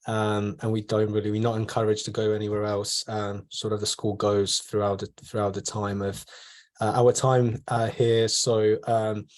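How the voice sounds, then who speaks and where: A man in his twenties from Poland, a medical student mentoring on a video call panel, speaking in a measured way with frequent ums and you-knows. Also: lowish voice, completely flat delivery, a little mellow. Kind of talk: online panel talk.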